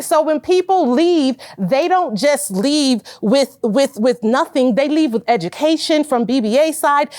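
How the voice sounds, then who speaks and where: A woman in her forties from Connecticut pitches her business in a loud, emphatic voice that sits high. She talks straight to her listeners and calls them y'all. The sound is dry and close, with no room echo. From Hartford, Connecticut. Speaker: business owner.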